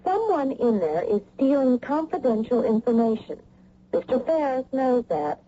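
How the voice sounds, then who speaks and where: An actress playing a brisk, bossy, worried wife who presses her point in a radio drama. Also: on the high side. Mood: insistent, concerned. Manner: bossy, insistent, animated. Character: brisk, insistent, worried wife in a spy mystery. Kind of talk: 1980 radio drama acting. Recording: muffled old radio recording, faint noise.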